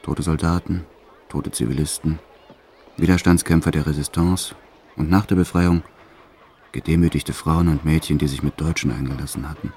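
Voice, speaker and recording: An actor playing a notorious male French criminal who recounts his wartime childhood in a brooding, deliberate way, as in an audio drama. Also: extremely low pitch, fairly even intonation, mellow, slight background noise. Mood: grim reminiscence. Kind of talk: dramatized first-person audio drama narration.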